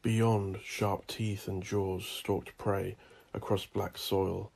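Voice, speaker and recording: A man reads gothic horror in a low voice full of heavy gravel. His delivery is slow, ominous and deliberate, shaped as theatrical storytelling for dark fiction. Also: level tone. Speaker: narrator of a horror audiobook drama. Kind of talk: dramatic gothic horror narration.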